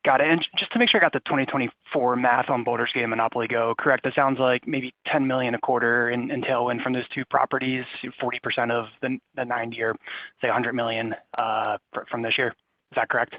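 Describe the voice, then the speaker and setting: Guy analyst phoning into earnings call, talks quick n monotone, thin phone sound. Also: mid-range voice, no room sound. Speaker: financial analyst, caller. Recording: dry, tinny phone line.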